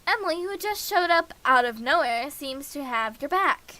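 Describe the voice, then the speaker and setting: A woman's voice: sits high, expressive, moderate pace, bright, forceful tone. A woman streaming a visual novel, reading every character's lines and the narration aloud herself, with frequent small stumbles and restarts. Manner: reads all parts herself with small restarts and slips.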